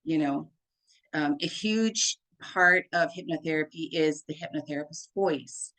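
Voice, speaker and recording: Deep-voiced woman, chatty hypnotherapist. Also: a little slow, a bit bright, rough recording. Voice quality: deep, full voice. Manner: chatty, fills with "like" and "right?". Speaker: hypnotherapist guest. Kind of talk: casual conversation.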